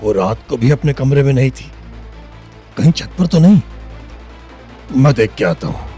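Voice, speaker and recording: Man playing gruff, hot-tempered small-town father. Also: mid-range voice, expressive, normal speed, dark tone, natural voice. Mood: suspicious. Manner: gruff, threatening, rustic speech. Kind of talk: Hindi audio story drama. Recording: background music under the voice.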